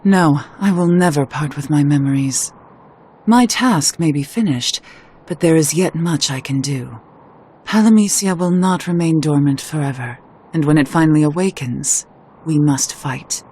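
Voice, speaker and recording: A woman playing a proud, lonely, resolute witch in a video game, with formal and measured speech. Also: deep voice, a little mellow, slightly rough. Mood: resolute, somber. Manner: formal, measured, archaic phrasing. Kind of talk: scripted video game voice acting.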